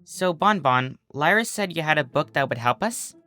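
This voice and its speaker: An earnest, friendly unicorn mare from a cartoon tale, played by a narrator. She is practical and a little exasperated, with a bright tone and lively intonation. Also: medium pitch, moderate pace, faint music behind.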